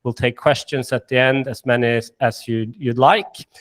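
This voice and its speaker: A man's voice: medium pitch, fairly even intonation, normal speed. A man with a Swedish accent presenting a business webinar in an upbeat way, with many fillers and restarts. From Sweden and Finland. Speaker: managing director presenting.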